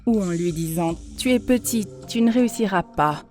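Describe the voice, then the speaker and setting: Woman acting earnest female mentor, soft mellow voice. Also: mid-range voice, lively intonation, lightly acted. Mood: earnest, didactic. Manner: measured, instructive, earnest. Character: female mentor earnestly lecturing on child psychology. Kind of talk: dubbed cartoon dialogue, didactic monologue. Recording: music behind the voice.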